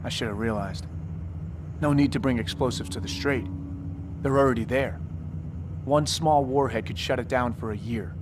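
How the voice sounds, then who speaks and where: A man playing a brisk Navy SEAL commander in a military video game, clipped and authoritative. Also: mid-range voice, background noise, natural voice. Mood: grimly focused. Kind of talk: cinematic military game acting. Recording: music behind the voice.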